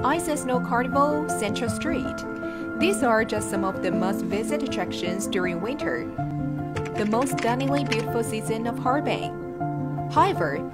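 A young woman narrating a travel vlog in a cheerful, playful scripted style. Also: on the high side.

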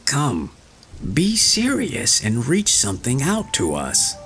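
A man's voice: medium pitch, wildly swooping pitch, takes it slowly, a bit bright, soft background music, pitched above the performer's own voice, exaggerated voice. A man voicing two furtive grown men, thieves in an old fairy tale, speaking warily. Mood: wary.

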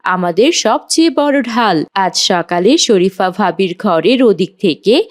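A woman's voice: mid-range voice, expressive, unhurried, close to an everyday voice. A woman playing a patient, pious mother in a cartoon, polite and instructive. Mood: earnest. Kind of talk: cartoon dialogue.

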